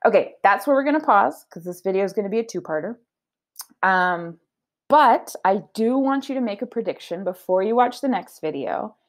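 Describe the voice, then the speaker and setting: A woman speaks as a teacher leading a read-aloud for children. Her pitch moves around in an animated way as she explains her thinking, and she keeps checking in with short questions. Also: moderate pace.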